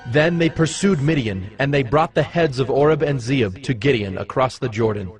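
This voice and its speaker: A man narrating dramatized scripture in a solemn, measured storytelling manner. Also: medium pitch. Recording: clear music behind the voice.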